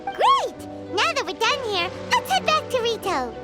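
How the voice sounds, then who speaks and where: A woman voicing a tiny, childlike, excitable fairy girl companion in a video game. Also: measured pace, full character voice. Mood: cheerful. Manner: squeaky, exclamatory, talks in third person. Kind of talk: scripted video game dialogue. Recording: loud music under the voice.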